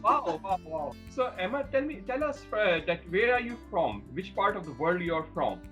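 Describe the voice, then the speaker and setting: A man's voice: high voice, sing-song, normal speed, a bit bright, soft background music. A man hosting a studio interview, talking in an upbeat promotional way and often repeating and restarting his phrases. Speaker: host.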